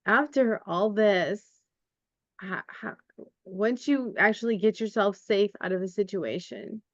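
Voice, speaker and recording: A woman hosting a podcast, interviewing a guest with gentle, measured questions. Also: medium pitch, no echo, lo-fi sound. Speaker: podcast host.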